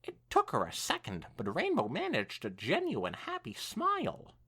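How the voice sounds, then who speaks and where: Very animated fanfiction narrator, female voice. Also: deep voice, normal speed, slightly rough. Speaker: podcast co-host reading fanfiction aloud. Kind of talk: animated story narration with casual asides.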